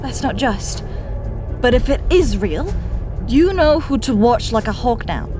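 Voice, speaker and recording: A woman's voice: a little high, big pitch swings, measured pace, music in the background. A woman playing an earnest young woman of firm moral conviction in an audio drama, persuasive and emphatic. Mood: impassioned, urgent.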